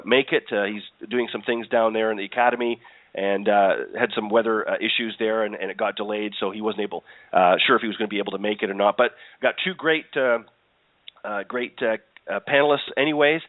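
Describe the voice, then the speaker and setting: A man hosting a live internet golf radio show, hoarse from a cold, talking loosely with many fillers and restarts. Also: fairly low pitch, no echo. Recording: phone-like line, dry.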